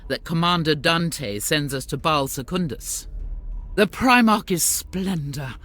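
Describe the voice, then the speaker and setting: A woman's voice: low voice, lively intonation, a little slow, a bit bright. A woman voicing a stern, devout warrior nun commander with a dry, formal manner, in a game cutscene. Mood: solemn. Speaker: sister superior.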